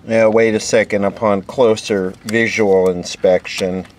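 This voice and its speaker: A man's voice: on the low side, a little slow. A man giving casual, off-the-cuff repair commentary, muttering and thinking aloud as he works. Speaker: host repairing vintage electronics on camera. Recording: steady background noise. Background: steady background noise.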